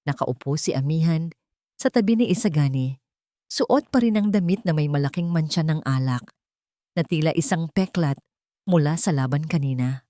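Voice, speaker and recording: A woman narrating a scripted romance story in a deliberate, emotive, dramatic way. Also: sits low, mellow, no echo, studio quality.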